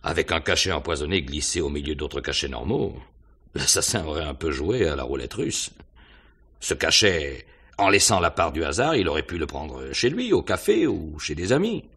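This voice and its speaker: A man's voice: extremely low pitch, sing-song, normal speed, fairly bright tone, husky, full character voice. An actor playing a courteous, probing police inspector in a 1950s-style French radio mystery, hesitant and musing as he questions.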